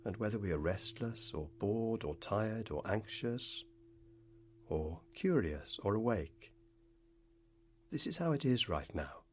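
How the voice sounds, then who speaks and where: A man leading a guided meditation, speaking in unhurried phrases with long pauses between them. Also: on the low side, expressive, a little hiss or hum.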